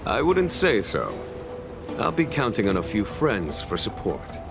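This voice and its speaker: A man playing a composed veteran general in a game cutscene, speaking in a measured, formal and authoritative way. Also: fairly low pitch, huge pitch swings, creaky, music in the background, exaggerated voice. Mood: commanding. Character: composed veteran male general commanding knights. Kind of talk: video game cutscene acting.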